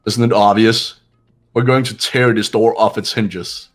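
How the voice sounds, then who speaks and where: A man's voice: on the low side, fairly even intonation, measured pace, soft background music. A man playing a stern, commanding young leader. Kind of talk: amateur voice acting of a video game.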